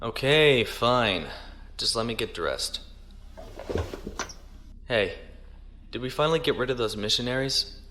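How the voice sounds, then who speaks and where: A man playing a dutiful, reserved naval lieutenant in a science fiction audio drama, speaking in a formal, measured way. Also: medium pitch, animated, background noise, natural voice. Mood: dry, resigned. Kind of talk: audio drama acting, log narration.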